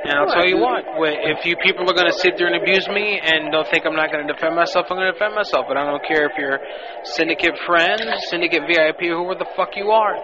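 A man rants on his live radio show, taunting and profane, a little buzzed from beer. His voice sits fairly high. The audio is dull and lo-fi, with heavy hiss underneath. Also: quick pace. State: tipsy from beer. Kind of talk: prank call and live show rant. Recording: lo-fi phone-line radio audio.

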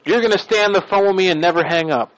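A man's voice: high-pitched, fast, fairly bright tone. Badgering prank caller, man, dull lo-fi sound. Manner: badgering, accusatory, bossy. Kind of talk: prank phone call.

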